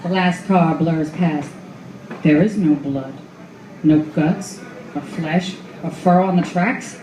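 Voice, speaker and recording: A woman's voice: sits low, steady tone, takes it slowly, mellow. A woman reading dramatic noir narration for a radio play. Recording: echoey room, noisy ambience.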